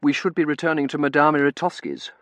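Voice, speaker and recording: A narrator voicing a kindly, sensible young woman with a quiet, cultured and polite manner in a storybook reading. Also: mid-range voice, steady tone, fast talker, dry recording, voice pushed up, natural voice. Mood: courteous.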